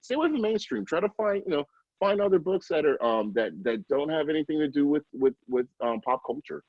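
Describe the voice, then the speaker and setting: A middle-aged man giving casual interview answers as an early childhood educator, halting and often interrupting himself with informal asides. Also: fairly high pitch, animated, dry recording, phone-quality sound.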